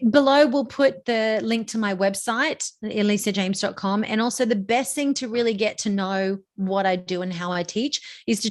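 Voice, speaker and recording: A middle-aged woman, a voice coach giving emphatic, teacherly advice as an interview guest. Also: mid-range voice.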